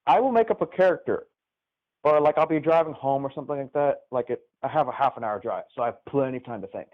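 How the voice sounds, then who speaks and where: A man's voice: medium pitch, fast talker. A man calling into a gaming livestream, chatting and reminiscing casually over a live call. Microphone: live call into the stream. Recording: tinny, band-limited phone-like call.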